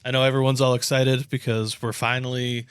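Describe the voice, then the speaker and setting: A man's voice: medium pitch, little pitch movement, brisk, loud music behind the voice. An American man co-hosting a podcast, chatting casually in slangy, informal language. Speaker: podcast co-host. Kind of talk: casual chat and informal sponsor plugs.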